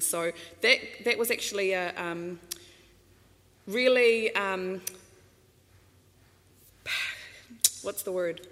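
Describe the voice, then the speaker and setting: A woman's voice: fairly low pitch, fairly bright tone. A New Zealand woman giving a casual public talk at a microphone stand, chatty, laughing often, full of fillers and restarts. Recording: long hall reverb.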